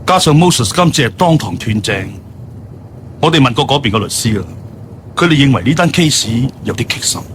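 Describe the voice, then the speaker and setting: A man's voice: mid-range voice, lively intonation, moderate pace, background noise, lightly acted. An actor playing a worldly, doting middle-aged businessman father in a radio drama. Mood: concerned, matter-of-fact. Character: worldly, open-minded businessman father, doting on his daughter. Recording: studio radio drama with music bed.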